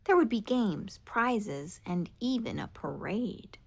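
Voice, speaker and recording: A woman reading a children's picture book aloud in an expressive, animated way. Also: medium pitch, takes it slowly, creaky. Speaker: storybook reader. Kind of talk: children's read-aloud narration.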